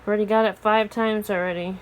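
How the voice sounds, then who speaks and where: A woman vlogger speaks in a casual, off-the-cuff way at an average pitch. She narrates what she is doing as she goes, recorded with a faint layer of noise behind her. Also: steady tone, quick pace, low-quality recording. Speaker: amateur weather vlogger.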